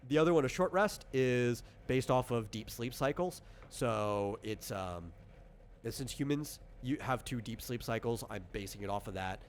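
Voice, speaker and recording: A man's voice: medium pitch, lively intonation, normal speed, a little hiss or hum. A man casually explaining tabletop game rules as designer and game master, talking informally with examples.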